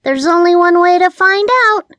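A woman voicing an excitable, easily rattled little female cat in a children's storybook. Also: very high voice, normal speed, no room sound, dull audio, voice pushed up. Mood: excited. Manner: exclaiming, eager.

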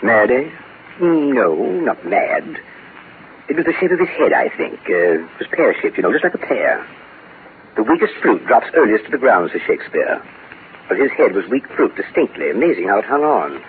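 An actor playing a brusque, dryly witty English court lord in 1930s radio drama style, pompous and emphatic. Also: male voice, mid-range voice, sing-song, moderate pace. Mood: wry, gossiping. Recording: scratchy, tinny 1930s radio recording with hiss.

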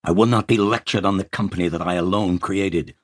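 A man's voice: low-pitched, fairly quick, no echo, clean studio sound, voice pushed up. A man voicing an irascible, imperious old male tycoon in an audiobook, blustering with indignation. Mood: thundering. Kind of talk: audiobook character acting.

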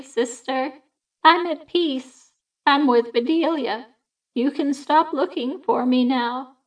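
Woman reading ghost of an old lady, calm, soothing, slow, high, storybook. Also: recorded from a distance, rough recording.

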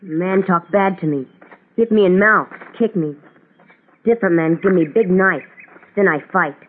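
An actor playing a defiant boy raised by the Cheyenne, speaking terse, halting broken English in a radio western. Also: high-pitched. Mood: sullen, defiant. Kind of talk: 1950s radio western acting. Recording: crackly, tinny 1950s radio recording.

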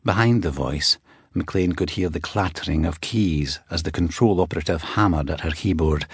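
A man narrates a thriller audiobook in a low, husky voice. His storytelling is measured and dramatic. The sound is dry, with no room on it. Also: dry recording.

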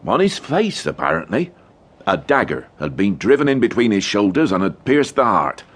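A village police sergeant in a radio detective drama. The voice is low and rough-edged, rising and falling freely. He is chatty and respectful to his superior, with a grim cheerfulness. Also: very animated, normal speed. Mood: helpful, amused. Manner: chatty, deferential, full of sirs.

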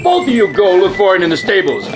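An actor playing a gruff, exasperated army sergeant bellowing orders in a radio drama. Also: male voice, high-pitched, wildly swooping pitch, fast. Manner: shouting, gruff. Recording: music and animal sounds behind.

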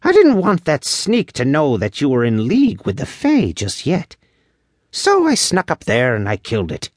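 Tiny male elf, squeaky high voice, very animated pitch, proud, grudging, archaic phrasing, fantasy storybook, close dry sound. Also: normal speed, no echo. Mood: grim. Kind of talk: audiobook character voice.

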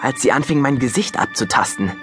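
A man's voice: mid-range voice, expressive, a little fast, soft background music, close to an everyday voice. A man playing a wry boy who narrates his own story in scripted first person. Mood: matter-of-fact.

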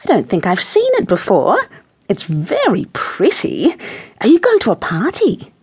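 A woman's take on a gossipy older lady, sugary, sharp, twittering, husky-edged, swooping pitch, storybook character. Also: wildly swooping pitch, a little slow, heavily acted. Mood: bright, nosy. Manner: twittering, insistent, gushing. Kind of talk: children's audiobook character voice.

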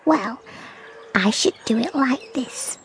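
A woman voicing a small, earnest, bossy little pig in a dramatised children's story, with a squeaky, creaky voice. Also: animated, takes it slowly, a bit bright, a little hiss or hum, heavily acted. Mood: eager, planning.

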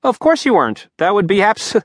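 Sarcastic flustered young guy, jumpy and high, dry booth sound, read by a man narrator. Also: sing-song, a bit bright, dry recording, exaggerated voice. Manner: rapid indignant babbling, voice rising high.

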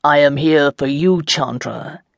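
A reader playing a commanding dark lord who speaks calmly and with quiet mockery. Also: measured pace, creaky, no room sound, clean studio sound. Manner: calm, low and assured.

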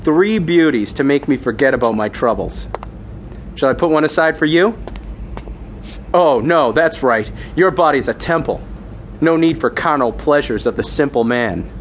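Man as brash male agent, lecherous, teasing, high-pitched, lively intonation, sci-fi audio drama. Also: moderate pace, a little hiss or hum, close to an everyday voice. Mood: cocky teasing. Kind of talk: science fiction radio drama acting.

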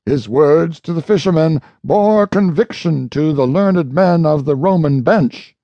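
A man with a dark, mellow voice reads aloud as an audiobook narrator. His delivery is formal and measured, like solemn oratory from a prepared script. The recording is a little dull, with audible room echo. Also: fairly high pitch. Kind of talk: formal scripted reading of a historical speech, oratorical.

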